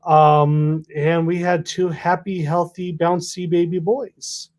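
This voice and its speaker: A man's voice: fairly high pitch, little pitch movement, slow pace, darkish tone. A man, hosting a casual chat and teasing his co-host. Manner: easygoing, teasing.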